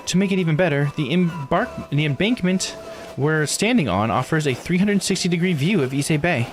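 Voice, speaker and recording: A man voicing a bright, courteous local guide from a visual novel, reciting lore with polite enthusiasm. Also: fairly high pitch, expressive, normal speed, pitched above the performer's own voice, lightly acted. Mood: enthusiastic, admiring. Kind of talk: visual novel character read aloud. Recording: game music under the voice.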